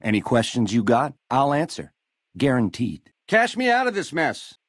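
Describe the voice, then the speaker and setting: An actor playing a slick, swaggering casino gangster, cocky and glib, in a video game full of 1950s lounge slang. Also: male voice, medium pitch, huge pitch swings, normal speed, dry recording, clean studio sound. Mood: coaxing, confident.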